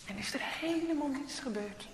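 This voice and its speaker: A woman's voice: sits high, expressive, moderate pace, background noise. A woman doing a scared little boy. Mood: timid. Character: frightened young boy thief. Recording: echoey room, long reverb.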